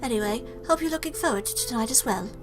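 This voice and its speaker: A woman voicing a gentle, motherly monster from a game in a soft, tender, emotional way. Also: a little high, animated, quick pace, music in the background, close to an everyday voice.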